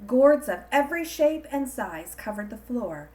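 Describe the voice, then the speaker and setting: Slow-paced woman reading a children's story. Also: a little high. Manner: expressive, deliberate storytelling. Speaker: storybook reader. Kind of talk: scripted storybook narration for children.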